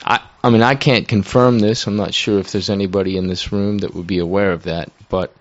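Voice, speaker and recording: Low-voiced man recounting with uncertainty. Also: steady tone, brisk. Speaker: church member sharing. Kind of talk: unscripted church discussion. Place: room with a group. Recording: dull lo-fi sound.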